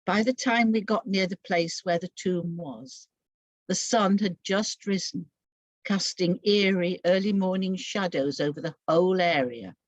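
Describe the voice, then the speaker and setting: A woman giving an expressive, solemn first-person dramatic narration of a Bible story from a script. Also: fairly low pitch, unhurried, dry recording.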